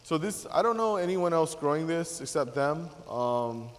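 A man's voice: on the high side, expressive, moderate pace, long reverb tail, slight background noise. A man in his thirties from Hawaii, a guest chef demonstrating a dish to a class, talking casually with many fillers and restarts. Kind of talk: cooking demonstration talk. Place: large teaching kitchen.

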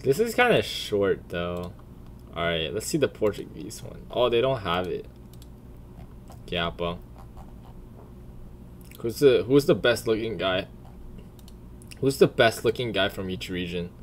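Crude, casual guy with swooping pitch. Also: very animated, measured pace, long pauses, slight background noise. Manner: crude, profane, blunt. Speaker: video creator. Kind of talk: casual off-the-cuff commentary.

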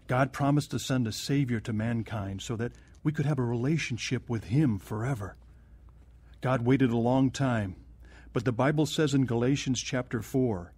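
An actor playing a kindly, earnest pastor counseling from scripture in a radio drama. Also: male voice, mid-range voice, mellow, slightly rough, no echo.

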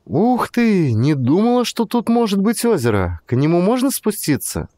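Guy doing a playful young girlfriend for an audiobook, higher voice, teasing n tender, really swoopy intonation. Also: huge pitch swings, moderate pace, mellow, no echo. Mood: awed, timid. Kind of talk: audiobook character dialogue.

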